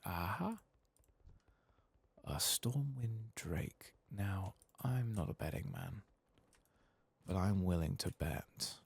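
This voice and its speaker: Very low, soft-spoken man. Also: creaky, breathy edge. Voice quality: soft-spoken, often dropping to a whisper. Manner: unhurried, murmured musing to himself. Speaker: gaming streamer, ASMR channel host. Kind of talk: soft-spoken ASMR game commentary.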